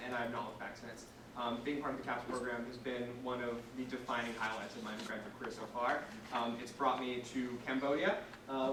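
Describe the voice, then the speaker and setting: A young man, a student panelist, introducing himself in a relaxed, joking way with fillers. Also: mid-range voice. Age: young adult. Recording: echoey room, far from the mic, noisy.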